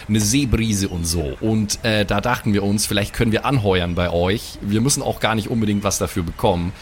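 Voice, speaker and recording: Low-voiced German man roleplaying a sailor. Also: a little fast, slight background noise. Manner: gruff, chummy, sailor talk with stage directions. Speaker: player voicing an old sailor. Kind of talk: improvised in-character roleplay.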